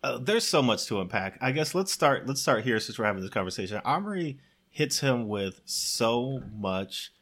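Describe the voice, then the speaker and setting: A man talks through a movie in casual, comedic conversation. His voice sits at an average pitch, and he is animated, often slipping into acted-out quotes to make a point. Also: normal speed. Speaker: podcast film commentator. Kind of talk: casual comedic film discussion.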